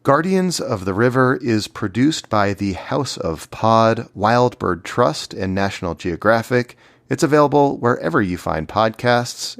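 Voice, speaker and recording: A man's voice: mid-range voice, gravelly, no echo, studio quality. A man hosting a podcast, reading a scripted introduction. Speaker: podcast host.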